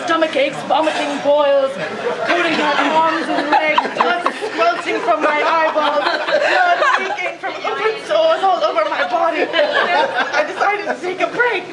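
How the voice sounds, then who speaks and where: A woman acts out a boastful, crude naturalist delivering a theatrical comic monologue. The voice sits high and declaims with mock gravity. It rings through an echoey restaurant room over a very loud crowd. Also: steady tone, a little slow, heavy background noise. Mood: mock-serious. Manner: grandiose, declamatory, mock-serious. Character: Charles Darwin parody, boastful, crude old naturalist. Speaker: friend performing a birthday monologue. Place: indoors in a busy sushi restaurant. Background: loud crowd chatter and laughter.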